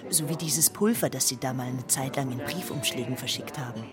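A woman's voice: a bass voice, a little fast, over music. An actress playing a down-to-earth, blunt woman who talks in a colloquial, matter-of-fact, sceptical way in a radio drama. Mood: confiding. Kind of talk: German radio drama dialogue.

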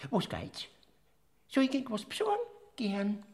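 Boandlkramer, a jittery whining Death figure. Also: male voice, high voice, sing-song, unhurried, darkish tone. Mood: feigning innocence. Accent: Bavarian. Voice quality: whiny, stammering, sheepish. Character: Boandlkramer, timid sly old male Death figure. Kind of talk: classic radio play acting.

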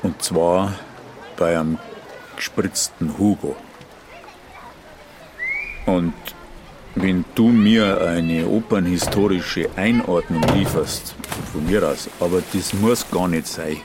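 Very deep-voiced man, slow, background noise. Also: fairly even intonation. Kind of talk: casual conversation.

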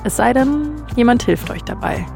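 A woman's voice: fairly high pitch, lively intonation, normal speed, gravelly, music in the background. A woman, narrating a scripted podcast as its host.

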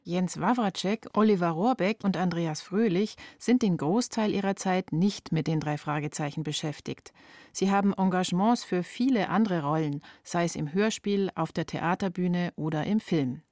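Low-voiced woman narrating a radio feature. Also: moderate pace, a little mellow, dry recording, clean studio sound. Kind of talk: scripted first-person radio feature narration.

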